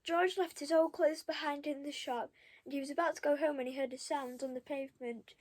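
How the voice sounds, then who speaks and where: A young child reading a storybook aloud, stopping for halting, chatty asides to the listeners. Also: sits high, steady tone. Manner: halting, commenting on pictures to listeners. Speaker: storybook reader.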